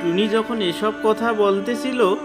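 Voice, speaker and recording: A narrator reading a scripted children's cartoon story in a deliberate storytelling manner. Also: medium pitch, music in the background.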